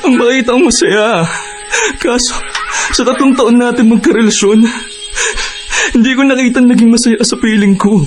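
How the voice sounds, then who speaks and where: A man's voice: extremely high pitch, fairly even intonation, moderate pace, long pauses, music in the background. A man acting as a heartbroken young boyfriend in a Filipino radio drama, pleading emotionally with his ex-girlfriend. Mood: tearful, anguished.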